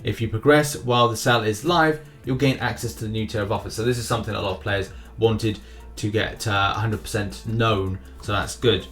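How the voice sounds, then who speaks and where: A man's voice: lowish voice, fairly even intonation, fairly quick, a bit bright. A man hosting a gaming news video with casual commentary, rambling a little and repeating phrases for emphasis. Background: background music.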